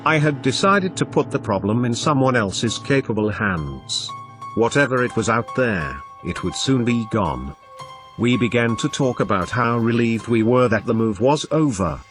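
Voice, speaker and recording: A man reading a scripted first-person horror story as an expressive narrator. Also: mid-range voice, moderate pace. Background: background music.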